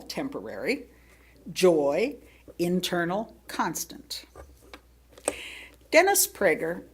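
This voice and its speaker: A woman reading a sermon aloud to a congregation in measured, deliberate phrases with pauses between them. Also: medium pitch. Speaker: lay speaker reading another minister's sermon to a congregation. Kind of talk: sermon read aloud, with brief asides.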